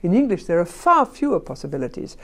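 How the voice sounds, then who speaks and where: A woman's voice: on the low side, sing-song, quick pace, fairly bright tone. A woman and language teacher in a conversational discussion, emphatic and asking herself rhetorical questions.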